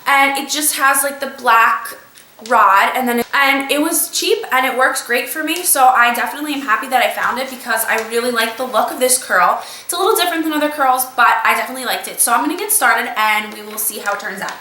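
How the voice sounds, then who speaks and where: A woman's voice: fairly high pitch, a little fast, bright, forceful tone, recorded from a distance, slight background noise. A young woman who sounds slightly nasal from a cold, chatting her way through a beauty tutorial step by step with plenty of fillers. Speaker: beauty vlogger. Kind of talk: casual talk-through tutorial. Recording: echoey room, voice a bit off-mic.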